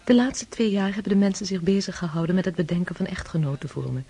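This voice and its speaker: Gossipy romantic young society girl in a radio play, mellow husky voice, confiding letter reading. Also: fairly low pitch, animated, fast. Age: young adult. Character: romantic, gossipy young society lady writing to her friend. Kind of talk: Dutch radio drama acting, reading a letter.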